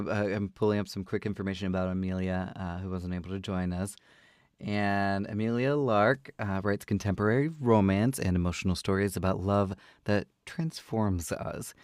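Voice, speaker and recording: A man's voice: fairly low pitch, no room sound, studio quality. A man hosting a book reading show, introducing books and reading blurbs with some theatrical flourish.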